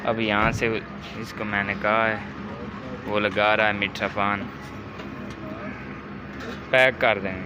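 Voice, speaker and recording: A man's voice: lowish voice, level tone, slow pace, pauses often, music in the background, poor audio. A man with a slightly sore throat chatting to viewers as he walks through his village to a shop. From Pakistan. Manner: chatty, addresses viewers directly. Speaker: vlogger. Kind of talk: casual vlog walking through a village. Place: outdoors in a village lane.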